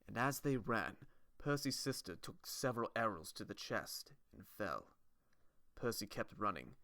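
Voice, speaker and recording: A man gives scripted, dramatic fantasy narration for a fan dub. His voice is mid-pitched and bright, recorded very dry. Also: dry recording.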